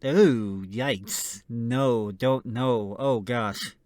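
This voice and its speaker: A male radio co-host with a mid-range voice talks casually. His delivery is halting and hesitant. The sound is close and dry. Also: mid-range voice, darkish tone, no room sound. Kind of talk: casual scripted banter and introduction.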